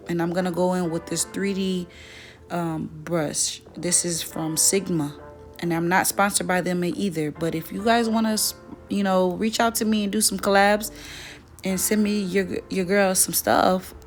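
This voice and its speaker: A young woman with a slightly raspy, dry voice, chatting casually through a makeup tutorial. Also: lowish voice. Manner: relaxed, chatty, self-correcting. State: voice dry from weather. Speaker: beauty vlogger.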